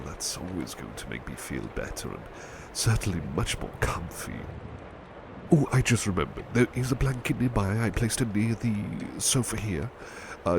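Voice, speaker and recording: Gentle, affectionate butler played by a man for ASMR roleplay; low, expressively varied delivery, hesitant and stammering, over clear background noise. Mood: weary, affectionate. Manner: polite, hesitant, stammering, tender. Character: gentle, devoted, flustered male butler, tired and affectionate. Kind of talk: intimate ASMR roleplay, soft-spoken.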